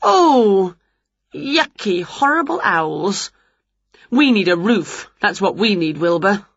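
A woman voicing an excitable, bossy, scatterbrained witch in a children's story, full of exclamations and mock swearing. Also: on the low side, moderate pace, slightly rough, no room sound, muffled recording. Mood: exasperated.

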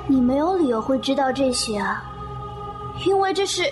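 A defiant young angel, proud, curt, high and lively in pitch, audio drama. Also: female voice, moderate pace, music in the background. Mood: agitated. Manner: cold and curt, flaring sharply. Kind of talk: Chinese audio drama acting.

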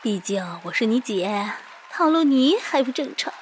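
A woman's voice: fairly high pitch, animated, moderate pace, some chatter behind, a little hiss or hum, poor audio. A woman hosting a scripted radio show, mixing monologue with playful, teasing big-sister banter. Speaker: online radio host. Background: music.

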